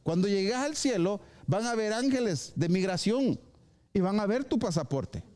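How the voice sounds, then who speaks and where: A male pastor preaching a live sermon at a church service. He is lively, acts out short dialogues and drops English phrases into his preaching. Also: sits high, moderate pace.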